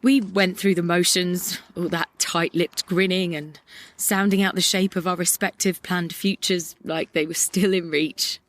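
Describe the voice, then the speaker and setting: A woman's voice: on the low side, fairly even intonation, natural voice. A woman playing a melancholic, angry young idealist in a poetic audio drama monologue. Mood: wistful. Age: young adult. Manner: lyrical, reflective, deliberate. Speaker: actor in an audio play.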